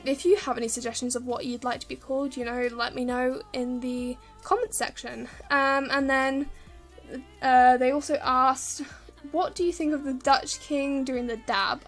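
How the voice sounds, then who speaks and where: A woman's voice: high-pitched, steady tone, a little slow, a bit bright, soft background music. A woman YouTuber chatting casually in a voice-over Q&A, rambling with lots of ums, her voice a little worn from talking. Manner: rambling, many ums and restarts. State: slightly worn voice from long talking.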